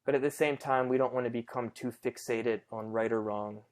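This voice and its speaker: A man leading a guided meditation conversationally, in a gentle, reassuring, unhurried way with pauses between phrases. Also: medium pitch, fairly even intonation.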